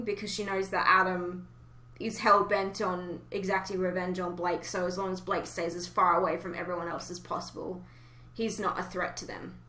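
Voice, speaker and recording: A woman talks casually in a bright, forward voice, offering speculative opinions with emphasis. The recording is roomy and echoey, like a podcast made in a reverberant room. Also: mid-range voice. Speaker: podcast co-host. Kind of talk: casual fan discussion.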